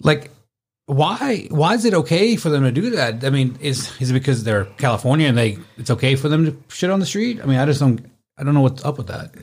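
Male podcast host, loose and chatty, average pitch. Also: sing-song, a little fast, darkish tone, husky. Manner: chatty, rambling with many fillers. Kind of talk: casual podcast chat.

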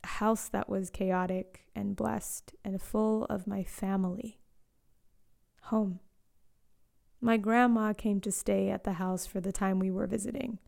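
A woman in her late twenties reading a reflective personal story in a slow, lyrical way, sometimes dropping to a hush. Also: mid-range voice, fairly even intonation, vocal fry. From born in Eswatini to Zambian parents, raised in South Africa, Australia and Canada. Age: late 20s. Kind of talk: scripted personal narration.

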